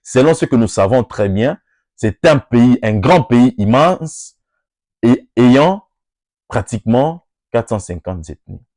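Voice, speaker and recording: A man's voice: medium pitch, animated, takes it slowly, darkish tone, dry recording, studio quality. Impassioned Congolese man giving a speech. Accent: Congolese French. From Democratic Republic of the Congo. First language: Lingala or Swahili. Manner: oratorical, rising emphatic peaks, switching between French and local languages. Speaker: NGO speaker. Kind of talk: rousing address to workers.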